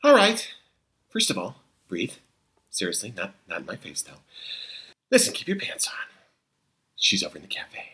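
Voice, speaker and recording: A man's voice: lowish voice, huge pitch swings, normal speed, pauses often, bright, forceful tone, exaggerated voice. Male impressionist as a young comic sidekick, sarcastic, dry asides, exasperated, hugely varied intonation. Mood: sarcastic, coaching. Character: wisecracking young man, exasperated, sarcastic friend coaching a nervous pal. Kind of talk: comic impression in a script table read.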